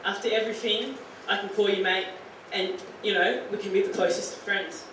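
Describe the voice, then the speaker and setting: A woman's voice: medium pitch, fairly even intonation, a little fast, fairly bright tone. A young Australian woman in her late teens talks earnestly to a room of students, her speech loose and full of "you know" and "like." She is heard from a distance in a very echoey room. A faint hiss sits underneath. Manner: earnest, chatty, with many "you know" and "like" fillers. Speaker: guest speaker to high school students. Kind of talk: informal talk to a student audience.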